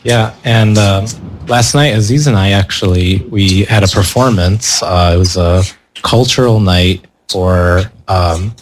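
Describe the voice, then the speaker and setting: A young man speaking as a panelist in front of a live audience, relaxed and hesitant with many pauses and fillers. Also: lowish voice, a little hiss or hum, rough recording. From United States. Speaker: panelist, percussionist. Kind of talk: casual panel talk. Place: event room with a live audience.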